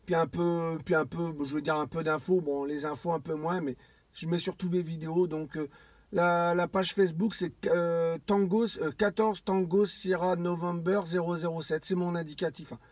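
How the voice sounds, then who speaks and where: A high-pitched man talks casually in a radio contact. He circles back over phrases and restarts often. The sound is dry and close, with no room around it. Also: level tone, normal speed, darkish tone, no room sound. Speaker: amateur CB radio operator.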